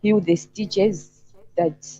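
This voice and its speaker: A woman with a Zambian accent calling in to a talk show, speaking conversationally with halting phrasing. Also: medium pitch, fairly even intonation, warm tone. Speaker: caller on a live talk show. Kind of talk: conversational opinion and personal account. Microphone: remote call connection. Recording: slightly dull lo-fi call audio.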